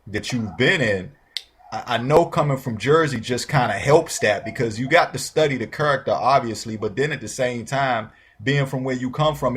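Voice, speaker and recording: A man's voice: mid-range voice, slight background noise. A man hosting a casual interview, speaking informally with slang and frequent encouraging affirmations. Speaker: interview show host.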